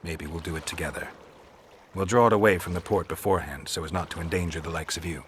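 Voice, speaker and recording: A man voicing a gruff, stoic monster hunter in a video game, terse and matter-of-fact, with a gravelly voice. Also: low-pitched, fast talker, a bit bright, a little hiss or hum. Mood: dry, businesslike.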